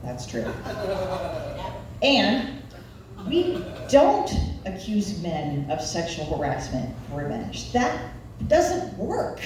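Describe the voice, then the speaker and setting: Recorded from a distance in a very echoey venue, a woman in her forties delivers a stand-up routine with a Nebraska Midwestern accent. She takes her time, with wry, self-deprecating storytelling and pitch that moves around freely. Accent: Nebraska Midwestern American. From Nebraska, United States. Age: 40s. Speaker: stand-up comedian. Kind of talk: live stand-up comedy routine. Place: live comedy venue. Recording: echoey room, distant mic, background noise.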